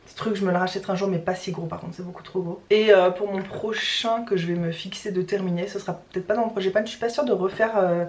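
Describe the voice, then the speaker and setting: A young woman talks straight to camera in a loose, rambling vlog chat, full of fillers and restarts. Her voice sits at an ordinary middle pitch. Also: steady tone, fast talker, few pauses, a little mellow, husky. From France. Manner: chatty, rambling with many fillers. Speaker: vlogger.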